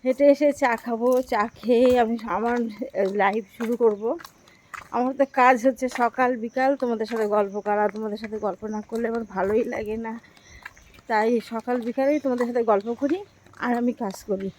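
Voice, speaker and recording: A woman's voice: fairly high pitch, fairly quick. A middle-aged woman chatting casually to her viewers on a vlog, talking to them directly and repeating herself as she goes. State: out of breath from walking. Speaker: vlogger. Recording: echoey with loud background noise.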